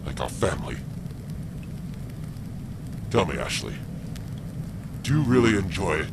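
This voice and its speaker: A man's voice: sing-song, unhurried, heavily acted. A man playing a menacing, predatory wendigo who scorns humans, taunting and ominous in an ASMR roleplay audio drama. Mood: cold taunting. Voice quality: gravelly. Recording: heavy background noise, low rumbling ambience.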